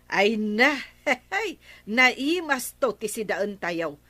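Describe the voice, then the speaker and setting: Talkative old village granny, high voice, homely, Ilocano accent, radio comedy drama. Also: female voice, big pitch swings, drawn-out words, bright, forceful tone, no echo, exaggerated voice. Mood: cheerful.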